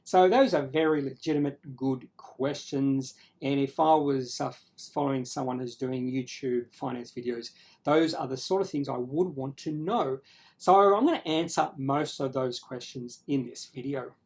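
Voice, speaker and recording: Male video host, Australian, mid-pitched, casual and explanatory, with a halting, self-correcting delivery. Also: darkish tone. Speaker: finance video creator and host. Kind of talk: casual explanatory talk to viewers.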